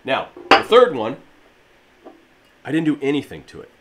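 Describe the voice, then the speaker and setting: Raspy-voiced man explaining a garage DIY. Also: mid-range voice, huge pitch swings, moderate pace, a bit bright, a little hiss or hum, low-quality recording. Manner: rambling, filler-heavy, friendly explainer. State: allergies. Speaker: fitness DIY channel host. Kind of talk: casual how-to talk to camera. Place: home garage gym, indoors.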